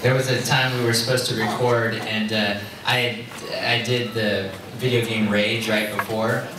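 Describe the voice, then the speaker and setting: A man voice actor telling relaxed stories on a convention panel, chuckling as he goes. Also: lowish voice, unhurried, noisy background. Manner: laid-back, chuckling storyteller. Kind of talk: casual panel chat. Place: large convention hall. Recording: echoey hall with crowd, far from mic.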